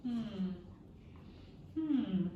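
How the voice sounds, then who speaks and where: A woman's voice: medium pitch, expressive, phone-quality sound. Echoey, buzzing, scheming storybook mosquito played by a woman. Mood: brooding. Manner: drawn-out buzzing zzz between words. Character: furious scheming male mosquito, buzzing and taunting. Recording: echoey room, distant mic, faint noise.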